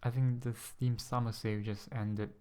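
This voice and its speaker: A young man from Singapore with a Singaporean-tinged English accent, talking to camera in a casual vlog, hesitant and a bit nervous, pronouncing words with deliberate care. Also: mid-range voice, flat delivery, warm tone. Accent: Singaporean-influenced English, carefully pronounced. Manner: hesitant, many ums, a little nervous; careful, deliberate pronunciation. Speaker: ASMR channel host. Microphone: USB desk microphone.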